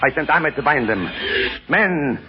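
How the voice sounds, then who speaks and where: A high-voiced, imperious mystic villain. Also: male voice, expressive, a little slow, highs cut off, background noise. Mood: cruel, commanding. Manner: theatrical, imperious, refers to himself in third person. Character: menacing, commanding Indian mystic hypnotist, grandiose villain. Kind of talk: 1930s radio acting. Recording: band-limited 1930s radio recording with music.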